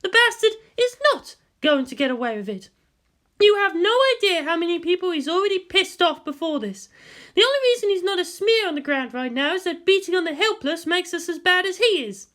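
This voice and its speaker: A woman's voice: extremely high pitch, expressive, fairly quick, a bit bright, voice pushed up. A woman voicing an exasperated but protective military guardian, emphatic and wearily authoritative, in a story reading. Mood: irritated, firm.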